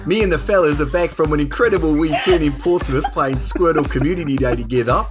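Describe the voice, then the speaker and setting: A man's voice: fairly high pitch, fast talker, music in the background. British man in a bad Australian twang. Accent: British, putting on a bad Australian accent. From Britain. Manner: exaggerated bad Australian twang that wanders between accents. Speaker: podcast host. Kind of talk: casual podcast banter. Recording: clearly noisy recording with clicks.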